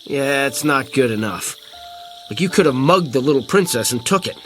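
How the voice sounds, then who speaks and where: A man playing an excitable, skeptical camper in an audio drama, reacting with stammers. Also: mid-range voice, moderate pace, faint music behind, lightly acted, rough recording. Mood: skeptical, bossy. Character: excitable, skeptical man camping and filming a discovery.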